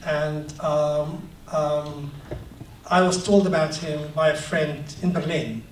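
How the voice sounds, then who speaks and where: A man from Palestine giving a talk about his book, reflective and hesitant with many ums, growing animated as he tells anecdotes. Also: on the high side, slow. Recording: echoey room, distant mic, faint noise.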